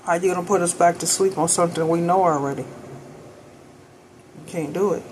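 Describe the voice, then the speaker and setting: Woman preaching casually, short firm lines, pretty even tone, bit of background noise. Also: fairly low pitch, rough recording. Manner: insistent, speaks in short declarative bursts. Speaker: co-speaker on a spiritual talk video. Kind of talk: unscripted conversational preaching.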